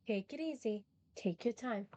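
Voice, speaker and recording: A woman's voice: on the high side, animated, normal speed, mellow. A woman streaming a video game, talking casually and reading dialogue choices aloud. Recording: dull stream mic with clear noise.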